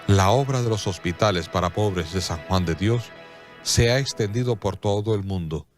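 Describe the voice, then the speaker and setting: A man narrating a scripted radio drama in a solemn, measured storytelling manner. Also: lowish voice. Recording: clear music bed behind.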